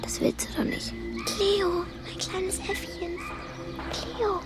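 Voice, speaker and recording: Anxious, high-voiced little girl. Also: steady tone, takes it slowly, loud music behind the voice. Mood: coaxing. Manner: coaxing, childlike, earnest. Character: anxious, tender young girl devoted to her pet monkey. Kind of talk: radio play acting.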